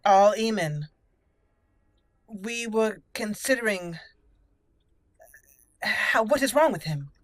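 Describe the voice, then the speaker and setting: A woman's voice: medium pitch, animated, takes it slowly, pauses often, no echo. A woman hosting a roleplaying let's play, speaking in character and commenting as she plays. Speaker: gaming channel host, player. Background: game music.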